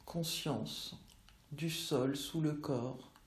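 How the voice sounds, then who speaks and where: Woman doing a guided yoga meditation, really slow, long pauses, roomy echo. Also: low voice. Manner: measured, instructional phrasing with long pauses. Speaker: yoga nidra teacher. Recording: roomy, echoey voice recording.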